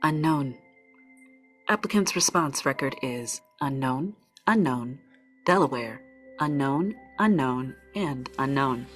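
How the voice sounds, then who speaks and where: A woman playing a stoic, politely chipper corporate orientation facilitator in a script table read, brisk, procedural and artificially courteous. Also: a bass voice, expressive, faint music behind, full character voice. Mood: brisk, officious.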